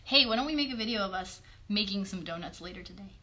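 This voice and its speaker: A woman's voice: mid-range voice, animated, quick pace, bright, forceful tone. A woman in her thirties hosting a chatty children's livestream in an encouraging, child-directed way, recorded on a phone in a bedroom. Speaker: host, puppeteer. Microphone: phone at arm's length.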